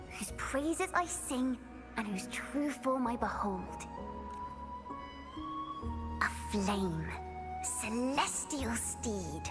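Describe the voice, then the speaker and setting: A gentle, aloof young poetess in a fantasy game. Her voice is high and sometimes breathy, with measured, lyrical phrasing. Music plays prominently behind her. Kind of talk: game voice acting, poetic recitation and dialogue.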